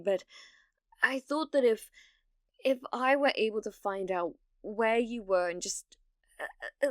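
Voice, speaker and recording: A British woman playing a desperate, delusional, lovesick streamer in an ASMR roleplay, pleading haltingly and slipping into breathy whispers. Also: mid-range voice, no echo, clean studio sound.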